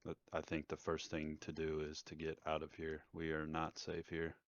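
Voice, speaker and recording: A man with a low voice plays in a tabletop roleplaying game. He explains the scene haltingly and repeats phrases as he goes. The recording is dry but dull and lo-fi. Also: completely flat delivery, dry recording. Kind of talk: casual in-character tabletop game discussion.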